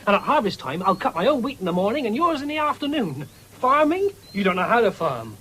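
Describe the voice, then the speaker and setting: Radio drama: boastful, eager young farmer's son, high and very animated, noisy old broadcast. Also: male voice, a little fast, full character voice. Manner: enthusiastic, cajoling, cocky. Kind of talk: 1970s British radio drama acting.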